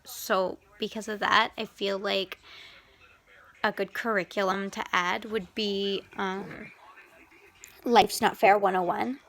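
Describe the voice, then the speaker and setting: A woman's voice: mid-range voice, level tone, slow, creaky, fairly bright tone. A young Canadian woman rambling casually as she answers viewer questions, swearing freely and trailing off into whispers. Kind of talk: casual chat.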